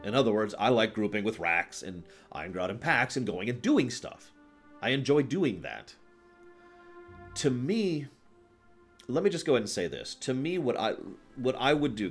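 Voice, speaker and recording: A man hosting a livestream, chatting casually about video games in an emphatic, explanatory way, often checking that listeners follow. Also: on the low side. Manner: emphatic, explanatory, checks understanding with short tag questions. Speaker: livestream host.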